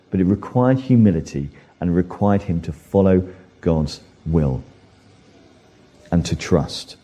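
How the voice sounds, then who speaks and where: A man's voice: sits low, mellow. A man preaching a sermon in an earnest way, lifting his voice for emphasis and acting out imagined inner voices. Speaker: pastor.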